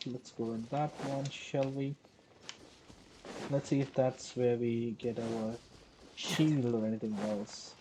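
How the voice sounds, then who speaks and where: A man's voice: medium pitch, noisy background. A man giving casual, offhand live commentary while streaming a video game. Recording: loud game music behind the voice.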